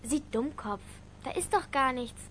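A defiant schoolgirl with a very high, sharp voice. She is pert and blunt, as heard in radio play dialogue. There is some noise around her. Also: expressive, unhurried, full character voice. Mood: teasing, pert. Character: cheeky, defiant schoolgirl daughter.

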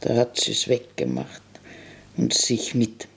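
A man's voice: medium pitch, sing-song, takes it slowly, gravelly, fairly bright tone, husky, pitched above the performer's own voice, heavily acted. A man voicing an ancient, bitter village innkeeper woman who speaks bluntly in a radio play. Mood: grim. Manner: blunt, curt, grim dialect-tinged speech. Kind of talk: German radio play acting.

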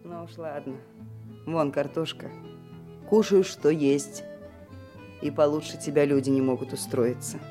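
An actress playing a caring, weary, hard-working older sister in a radio drama. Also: female voice, low-pitched, steady tone, measured pace, long pauses. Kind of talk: Soviet radio play acting. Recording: guitar music clearly behind.

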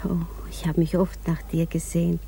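A woman's voice: fairly low pitch, flat delivery, normal speed, creaky, slight background noise. An actress playing a shy, yearning provincial woman in a 1950s German radio drama, speaking in a dreamy, intimate way. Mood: nostalgic. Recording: 1950s radio production, loud music underneath. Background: music.

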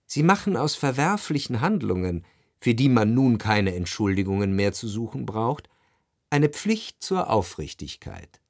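Radio drama commentator: analytical, reflective, creaky voice in a very dry studio. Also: male voice, mid-range voice, very animated, unhurried, darkish tone, no room sound. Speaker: commenting narrator.

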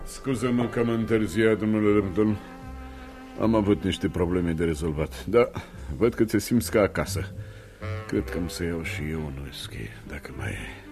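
An actor playing a stern, condescending older businessman in a Romanian radio play, speaking in a measured, reproving way. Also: low-pitched, over music. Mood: stern, disapproving. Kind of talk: Romanian radio theatre acting.

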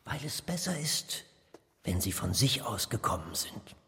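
An actor in a German radio play playing a pompous, menacing Nazi minister who is domineering and mercurial, swinging from soft threats to barking. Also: male voice, fairly low pitch, sing-song, measured pace, exaggerated voice. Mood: cold, insinuating. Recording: long hall reverb, faint music underneath.

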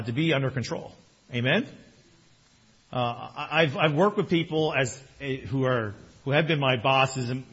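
A male pastor preaching a sermon in a conversational way, his voice a little strained, swinging between quiet and loud. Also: a little high, slightly rough, lo-fi sound. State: slightly strained voice.

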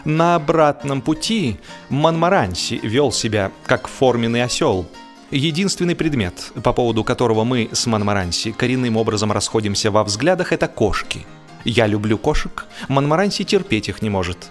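A man reading a humorous literary story as an audiobook narrator, expressive and measured, with deliberate pauses. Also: medium pitch, faint music behind.

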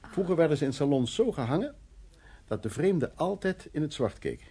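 A man playing Louis, who recounts his troubled past in a Dutch radio play, halting and acting out the remarks of others. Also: fairly high pitch, big pitch swings, mellow, breathy edge, heavily acted. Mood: playful, secretive. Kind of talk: Dutch radio play, intimate dramatic monologue and dialogue.

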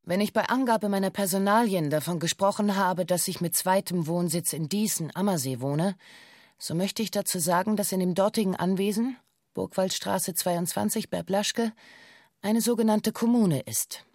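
An actress playing a female witness in a radio play, reciting a police statement in a stiff, measured, matter-of-fact way. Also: medium pitch, darkish tone, dry recording, studio quality, lightly acted. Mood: formal, composed. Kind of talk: radio play, formal recited testimony in bureaucratic German.

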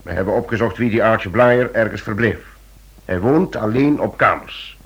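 An actor playing a persuasive police chief in a radio play, speaking deliberately and coaxing with repeated words. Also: male voice, lowish voice, fairly bright tone, slightly rough, exaggerated voice. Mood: explaining. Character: persuasive male police chief pressing a friend for help. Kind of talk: Dutch-language radio play acting.

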